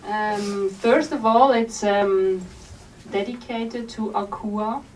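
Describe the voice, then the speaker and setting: A woman from Switzerland, reading aloud from her memoir at a bookstore event in a gentle, unhurried storytelling manner. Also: mid-range voice, steady tone. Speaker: author. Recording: distant mic with faint room noise.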